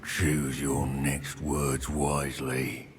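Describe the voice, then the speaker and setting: Smug goblin bad guy, low slow threatening voice, game cutscene with music. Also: completely flat delivery, exaggerated voice. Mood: smug menace. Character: menacing, smug goblin villain, adult male. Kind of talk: video game cutscene acting.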